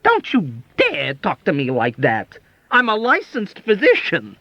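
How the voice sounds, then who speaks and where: A man's voice: high-pitched, wildly swooping pitch, slow pace, fairly bright tone, dry recording, voice pushed up, heavily acted. A man putting on a whining self-pitying army doctor. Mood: indignant. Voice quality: whiny, plaintive. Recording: dull dry audiobook recording.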